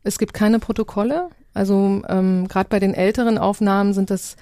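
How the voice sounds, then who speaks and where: A woman's voice: medium pitch, fairly even intonation, normal speed, mellow, no room sound, clean studio sound. A woman speaking as an interview guest, reflective and searching for words as she recounts her own project. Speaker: interview guest, audio documentary maker.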